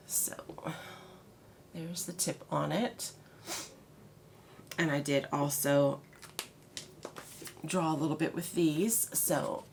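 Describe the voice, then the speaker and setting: Low, gravelly-voiced woman reviewing products. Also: slight background noise. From Canada. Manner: chatty, many fillers and restarts. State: congested. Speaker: haul video host.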